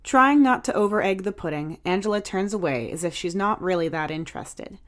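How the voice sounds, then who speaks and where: A woman's voice: medium pitch, expressive, fairly quick. A woman reading scripted fiction aloud as an audiobook narrator.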